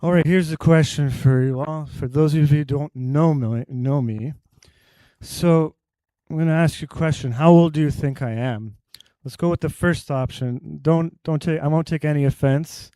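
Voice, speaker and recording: A man's voice: fairly high pitch, moderate pace, mellow, no echo. A man giving a conference talk on health to a live audience. He explains with everyday analogies and quizzes the crowd directly.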